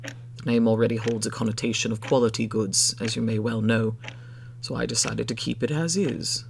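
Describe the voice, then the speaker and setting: A man voicing a tall, mid-thirties shopkeeper in a storybook, soft and authoritative, formal, measured and quietly menacing. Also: medium pitch, fairly even intonation, creaky, slight background noise, pitched below the performer's own voice, lightly acted. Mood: smooth, patient.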